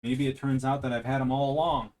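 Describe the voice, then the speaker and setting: A man with a somewhat deep voice chatting casually on a live stream at home, thinking aloud in a rambling, unhurried way into a desk microphone. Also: flat delivery, darkish tone, slight background noise.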